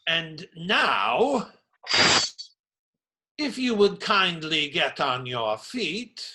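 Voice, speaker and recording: A man's voice: a little high, sing-song, extremely slow, bright, forceful tone, full character voice, low-quality recording. A man acting in a radio play as a stout, strong friar who is indignant, pious and sarcastically preachy. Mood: threatening, sardonic. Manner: theatrical, sermonizing sarcasm.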